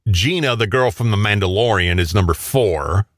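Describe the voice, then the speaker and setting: Male radio co-host, slightly low register but very varied intonation, casual banter and list reading, dry sound. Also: animated, normal speed, dry recording.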